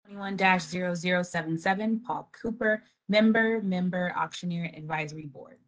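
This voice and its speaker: A woman reads out bill numbers, names and board titles as clerk of a council meeting, speaking on a video call. Her reading is measured and formal, in a slightly low register. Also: poor audio. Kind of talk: reading agenda items aloud.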